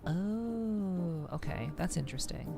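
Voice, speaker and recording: Low-pitched woman streamer with creaky voice. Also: lively intonation, slow. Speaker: livestreamer host. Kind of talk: soft-spoken ASMR game stream. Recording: music behind the voice. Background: music.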